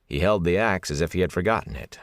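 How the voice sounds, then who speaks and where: A man's voice: low-pitched, quick pace, no room sound. A man reading a fantasy novel as a scripted audiobook narration, close on the microphone.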